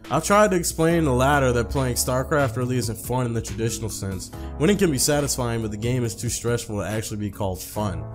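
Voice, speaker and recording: A man's voice: mid-range voice, brisk, hardly any pauses. Casual, slangy man over music. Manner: slangy, informal, repeats phrases when misreading. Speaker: gaming video host. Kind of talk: reading visual novel text aloud with casual commentary.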